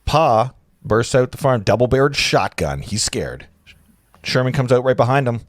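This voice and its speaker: A man's voice: medium pitch, animated, moderate pace, no echo, studio quality. A man narrating screenplay directions in an informal live read, stumbling and correcting himself with amused asides.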